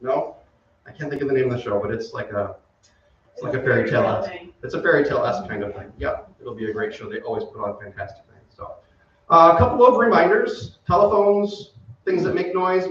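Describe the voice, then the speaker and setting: A man's voice: on the high side, a little hiss or hum. A middle-aged man giving a casual, off-the-cuff welcome speech as a community theater director. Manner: informal, off the cuff. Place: theater stage before an audience. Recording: very echoey hall, distant mic, muffled.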